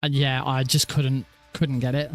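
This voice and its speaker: A man with a naturally high voice, rambling casually and self-deprecatingly as he hosts a gaming livestream. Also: level tone, normal speed, soft background music. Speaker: livestreaming speedrunner and host. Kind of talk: casual livestream chat over gameplay.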